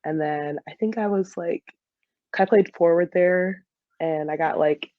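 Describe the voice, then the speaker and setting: A woman's voice: on the low side, unhurried, no echo, lo-fi sound. A young woman in a casual interview as a soccer player guest, peppering her talk with "like". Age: young adult. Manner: heavy use of "like" as she talks.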